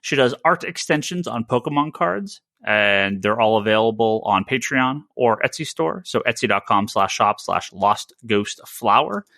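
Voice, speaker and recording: A man hosting a podcast, chatting informally and rambling through shout-outs and plugs. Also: lowish voice, animated, moderate pace, few pauses, dry recording, clean studio sound. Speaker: podcast host.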